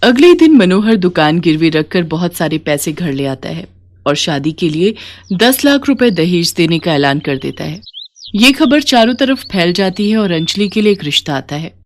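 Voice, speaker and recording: A woman's voice: lowish voice, very animated, moderate pace. A woman storyteller reading a scripted moral tale.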